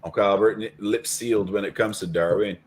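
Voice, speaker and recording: A man's voice: on the low side, fairly even intonation, brisk, a crowd in the background. Argumentative man making his football point. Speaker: football fan show co-host. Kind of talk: casual match reaction chat.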